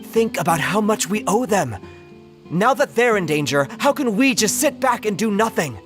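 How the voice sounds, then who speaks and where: An actor voicing an excited gossiping townsperson. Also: mid-range voice, normal speed, music in the background, close to an everyday voice. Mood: eager. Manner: eager, rallying.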